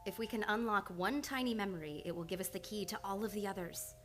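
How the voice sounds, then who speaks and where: A woman's voice: mid-range voice, lightly acted. Composed, devoted lady doctor played by a woman, music behind. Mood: coaxing. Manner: measured, controlled, earnest. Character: composed, devoted, determined woman psychiatrist in love. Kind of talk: old-time radio drama acting. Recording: live radio play with music behind.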